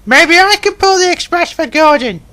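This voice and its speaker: A man's voice: very high voice, expressive, normal speed, voice pushed up, full character voice. A man voicing an eager young boy steam engine, earnest and pleading. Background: loud background music.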